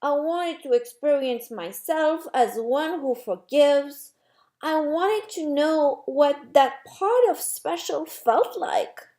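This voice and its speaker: Woman voicing an eager, high-pitched little soul. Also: expressive, slow pace, warm tone, heavily acted. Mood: grumbling, sad. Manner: wondering, earnest, questioning. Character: eager, curious, easily disappointed childlike little soul. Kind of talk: storybook character reading.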